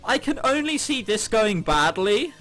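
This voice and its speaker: A man hosts a visual novel playthrough in a high, very animated voice. His tone is bright and forceful, with jokey asides between the lines he reads. Soft game music runs behind him. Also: a little slow, rough recording. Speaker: gaming video host. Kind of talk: reading a visual novel aloud with jokey commentary. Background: game background music.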